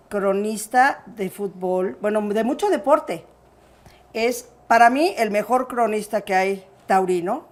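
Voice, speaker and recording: A woman with a Mexican accent hosting a personal interview in an effusive, affectionate manner. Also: fairly high pitch. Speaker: interview show host.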